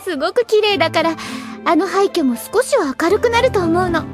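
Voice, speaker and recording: A woman acting a cheerful young woman in a fantasy radio drama, girlish and chirpy, with expressive feminine phrasing. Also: racing. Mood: sweet, delighted. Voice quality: girlish, high-pitched. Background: music.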